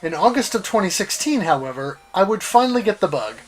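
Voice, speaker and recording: A middle-aged man hosting a retro gaming series, reading scripted personal narration with a deliberate, dramatic delivery and emphatic pauses. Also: sits high, big pitch swings, soft background music, rough recording.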